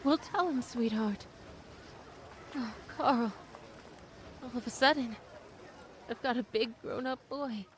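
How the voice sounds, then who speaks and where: A woman's voice: on the high side, slow, long pauses. A woman playing a guarded, proud widowed mother with a hesitant manner in a radio drama. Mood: tender. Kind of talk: old-time radio drama acting.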